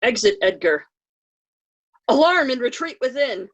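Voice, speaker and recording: Lady narrating stage directions for a play, highish voice, scripted. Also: sing-song, measured pace.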